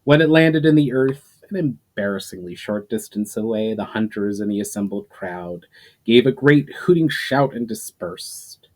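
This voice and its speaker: Male storyteller, lowish register, dramatic audiobook-style delivery with deliberate stresses and trailing sentence endings. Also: animated, darkish tone. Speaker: writer reading own story to channel viewers. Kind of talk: dramatic scripted narration of a fantasy story.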